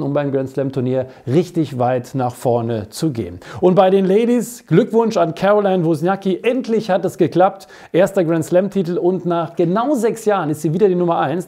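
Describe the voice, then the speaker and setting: Middle-aged man, mellow higher voice. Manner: colloquial, jokey, addresses viewers directly. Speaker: host of a weekly tennis show. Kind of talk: chatty sports web show commentary. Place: small cluttered basement studio.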